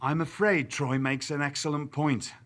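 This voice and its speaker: An actor playing a male wrestling general manager who speaks in a dry, deliberate, formal way. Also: a little high, steady tone, fairly bright tone, close to an everyday voice. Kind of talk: video game cutscene acting.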